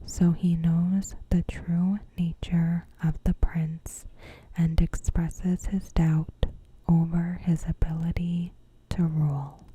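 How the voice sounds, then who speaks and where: A woman softly whispering a scripted recap in a gentle, measured, breathy ASMR style. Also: lowish voice, flat delivery, low-quality recording. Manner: gentle, measured, careful phrasing. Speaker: ASMR host.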